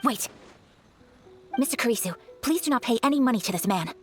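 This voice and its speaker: An actress playing a gracious young noblewoman swordfighter in a video game, formal and precise, gentle but firm. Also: on the high side, expressive, fairly quick, lightly acted. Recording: music behind the voice.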